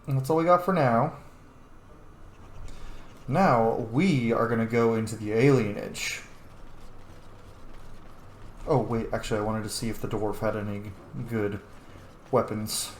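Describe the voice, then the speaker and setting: A man's voice: lowish voice, unhurried, long pauses. A man giving casual let's play commentary, with muttered asides to himself and casual swearing. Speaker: host of a game roleplay video series. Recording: roomy sound with faint background noise.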